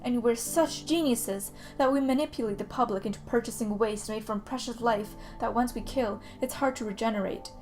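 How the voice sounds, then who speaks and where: A young woman in her late teens performs spoken word in a fairly high voice. She delivers her lines earnestly, with rhythmic emphasis, while music plays clearly behind her. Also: moderate pace, few pauses, darkish tone. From Canada. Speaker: student slam poet. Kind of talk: performed slam poetry recitation. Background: background music.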